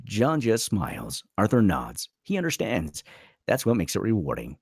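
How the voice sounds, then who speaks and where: A man's voice: lowish voice, huge pitch swings, moderate pace, darkish tone, slightly rough, dry recording, clean studio sound. A man narrating a screenplay dramatically at a table read.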